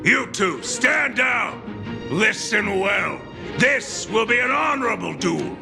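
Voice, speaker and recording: An actor playing a stern, blunt gang lieutenant in a game. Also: male voice, high voice, expressive, takes it slowly, vocal fry, heavily acted. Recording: game audio with music. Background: game music.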